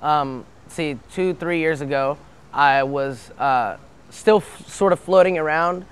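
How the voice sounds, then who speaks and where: A man's voice: a little high, takes it slowly, clear, resonant tone. A young man from Brazil, speaking earnestly in a documentary interview and often stopping to restart his sentences.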